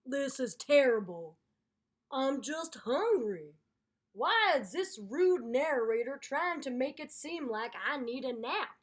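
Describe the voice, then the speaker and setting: A woman voicing an indignant, exasperated male alligator in a children's storybook, complaining loudly and emphatically. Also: sits high, lively intonation, takes it slowly, exaggerated voice.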